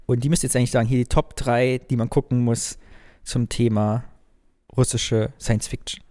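A man from northern Germany, co-hosting a podcast, chatting in a lively storytelling way. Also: medium pitch, normal speed. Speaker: podcast co-host. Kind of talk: casual chat.

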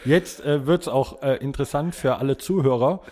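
A man's voice: medium pitch, normal speed, mellow. A man with a German accent hosting a casual podcast remotely from a room at home. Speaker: podcast host. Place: quiet room at home, on a remote video call.

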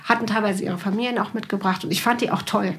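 A woman's voice: mid-range voice, fairly even intonation, fast talker, fairly bright tone. A woman from a German North Sea island, hosting a podcast with casual, chatty, teasing questions. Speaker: podcast host, writer. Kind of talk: casual conversational interviewing.

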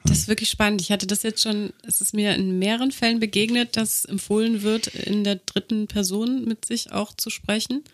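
A woman's voice: medium pitch, steady tone, moderate pace, few pauses. A woman co-hosting a podcast, asking questions and explaining things in a casual conversational way. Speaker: co-host.

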